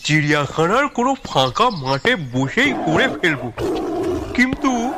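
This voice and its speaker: Guy acting chatty fussy gentleman writer, high voice, drags words out, audio story, noisy background. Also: very animated, measured pace. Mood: chatty complaining. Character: genial, chatty, fussy Bengali gentleman writer, slightly timid.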